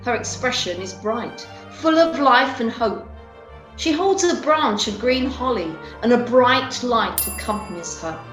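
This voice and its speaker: A woman narrating a scripted story in a deliberate storytelling manner. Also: a little high, expressive, fairly bright tone, faint music behind. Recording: roomy echo.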